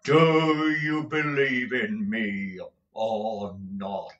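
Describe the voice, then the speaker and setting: A man voicing a doomed ghost in chains, speaking slowly and gravely. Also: fairly low pitch, steady tone, fairly bright tone, dull audio. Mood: solemn. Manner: slow, drawn-out, grave delivery. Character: doomed male ghost in chains, solemn and mournful.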